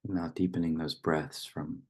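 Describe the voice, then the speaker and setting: Really deep husky guy doing gentle poem reading and guided meditation, lots of pauses. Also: little pitch movement. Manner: gentle, unhurried instruction with long pauses. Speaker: guided meditation leader and poetry reader.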